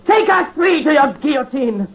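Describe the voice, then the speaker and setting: A young actor playing a defiant, anguished aristocrat son, declaiming with theatrical passion in 1930s radio drama style. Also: male voice, very high voice, very animated, moderate pace, creaky, slight background noise, full character voice. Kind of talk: 1930s radio horror acting. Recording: muffled 1938 radio broadcast.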